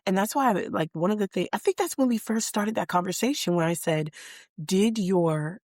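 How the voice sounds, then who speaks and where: A woman co-hosting a casual podcast, talking in an animated, rambling way full of "like" and quick asides. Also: medium pitch, no room sound, studio quality. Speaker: podcast co-host. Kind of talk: casual chat.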